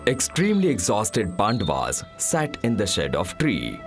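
A man's voice: lowish voice, wildly swooping pitch, normal speed, soft background music. A man narrating a mythological story in a scripted storytelling style.

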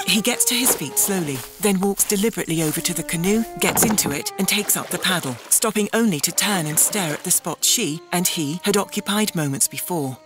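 A woman narrating the scene directions of an audio drama in a measured, descriptive way. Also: fairly low pitch, over music. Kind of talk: scripted narration of stage directions.

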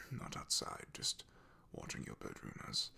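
A man playing an awkward, loyal knight in a soft ASMR fantasy roleplay, half-whispering in a breathy, gravelly voice with a hesitant, apologetic, deferential manner. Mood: apologetic, earnest. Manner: hesitant, apologetic, halting with throat clearing.

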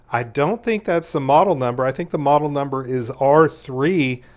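A man in his fifties hosting a product review, speaking in measured, clearly enunciated scripted narration to camera. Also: medium pitch. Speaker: host of a product testing channel.

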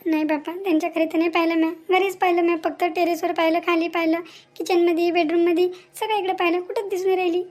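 Woman acting a fretful, high-pitched Marathi village mother. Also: level tone, quick pace, a little mellow. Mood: worried. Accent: Vidarbha Marathi. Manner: grumbling, folksy, fretful. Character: overworked, fretful village mother of a grown daughter. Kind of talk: amateur cartoon dialogue.

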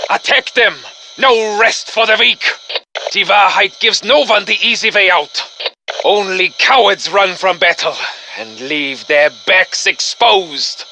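Game villain: German-accented Nazi commander of the undead, piercingly bright and forceful, slow and gloating, male. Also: high voice, slight background noise, full character voice, poor audio. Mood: commanding menace. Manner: menacing, pompous, gloating. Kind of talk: theatrical video game villain acting.

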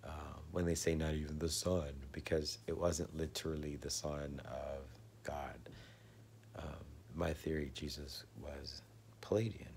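Hoarse, very deep, gravelly man. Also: slight background noise. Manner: often drops to a husky whisper, clears his throat. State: hoarse, losing his voice. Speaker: vlogger sharing religious theories. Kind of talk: casual home video monologue. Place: living room at home, indoors. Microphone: phone camera at arm's length.

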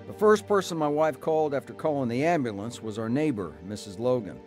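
Faint music sits behind a troubled, plain-spoken husband played by a man. His medium-pitched voice is earnest, with a stubborn streak, in the style of a radio drama. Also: animated, a little fast, a little mellow, natural voice. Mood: earnest recounting. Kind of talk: radio drama narration and dialogue.

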